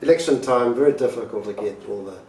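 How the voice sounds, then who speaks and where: Older man, unhurried, in a roomy living room. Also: mid-range voice, darkish tone. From Fiji. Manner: unhurried, hesitant with fillers. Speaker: Rotary campaign organizer. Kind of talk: casual interview answers. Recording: roomy echo, distant mic, faint noise.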